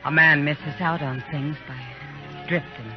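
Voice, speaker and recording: Caring saloon-era western woman, probing, gentle, muffled vintage radio, music behind. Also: low voice, fairly even intonation, a little slow. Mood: wistful. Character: knowing, caring frontier woman friend of the marshal. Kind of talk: 1950s radio western acting.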